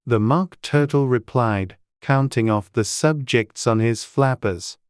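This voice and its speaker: A woman's voice: extremely low pitch, slow, a little mellow, smooth, no echo, clean studio sound. A woman reading scripted storybook narration as an audiobook narrator.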